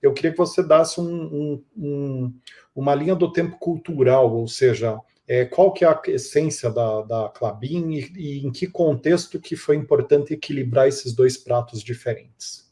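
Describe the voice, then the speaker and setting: A man's voice: medium pitch, a little slow, warm tone. A man with a Brazilian accent hosting a podcast interview in a polite, enthusiastic and wordy way.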